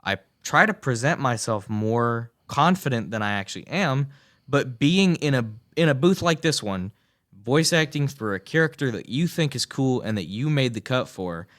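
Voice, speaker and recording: A man's voice: medium pitch, expressive, normal speed, fairly bright tone. A teenage boy, a student guest on a radio talk show, talking earnestly in a rambling way with many fillers and restarts in a small studio booth. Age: teens. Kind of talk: radio interview, earnest and chatty.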